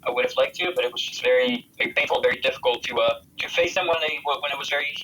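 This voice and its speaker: An American man giving earnest interview answers as a volunteer soldier, speaking haltingly as he searches for words. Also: mid-range voice, bright, forceful tone, a little hiss or hum, poor audio. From United States.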